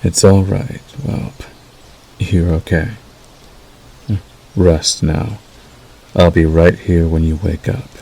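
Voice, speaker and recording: Man acting a very deep, gravelly possessive werewolf lover. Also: little pitch movement, heavily acted. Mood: tender, hushed. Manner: slow, soothing, coaxing with dark undertone. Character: possessive male werewolf lover, menacing yet tender. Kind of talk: intimate ASMR roleplay.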